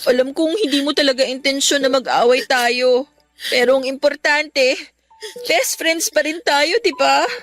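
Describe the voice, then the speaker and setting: A devoted, forgiving teenage girl in a Filipino radio drama. Her voice is high and earnest, pleading with feeling, while music plays softly behind her. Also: unhurried. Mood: gently encouraging. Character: caring, forgiving teenage best friend, young woman. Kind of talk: Tagalog radio drama acting. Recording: music bed under the voice.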